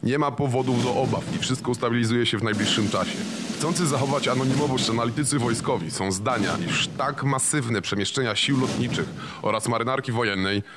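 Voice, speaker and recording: An actor playing a serious male radio newsreader in a radio play, with formal, measured delivery. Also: mid-range voice, very noisy, close to an everyday voice. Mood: grave, formal. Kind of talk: scripted news bulletin in a radio play. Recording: loud music over the voice.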